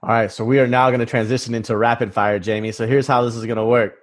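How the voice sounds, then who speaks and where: A man's voice: medium pitch, level tone, fast talker. A man hosting an interview in a casual, informal style, explaining and posing questions. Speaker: interview host. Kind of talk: casual hosting with sponsor read.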